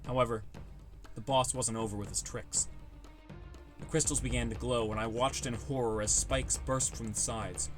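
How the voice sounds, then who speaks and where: A man's voice: mid-range voice, fairly even intonation, moderate pace. A man reading a scripted first-person fantasy story as an audiobook narrator, with music behind him.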